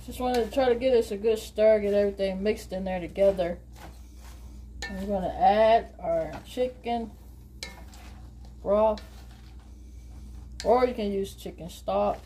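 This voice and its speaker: A woman's voice: mid-range voice, measured pace, long pauses, warm tone. A woman with a Southern US accent casually explaining her cooking as she goes, recorded in a home kitchen. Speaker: home cook and vlogger. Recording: noisy kitchen with sizzling food. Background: frying and stirring sounds.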